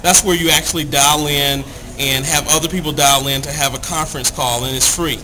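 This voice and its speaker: A man's voice: on the high side, steady tone, fairly quick, poor audio. A man speaking as a guest, explaining tools in an informal, plain-spoken, instructional way.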